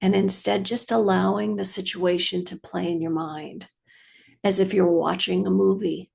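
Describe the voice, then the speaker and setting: A woman meditation teacher leading a guided practice in a measured, soothing way, with long pauses and a slightly husky voice. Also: medium pitch, steady tone, a little mellow.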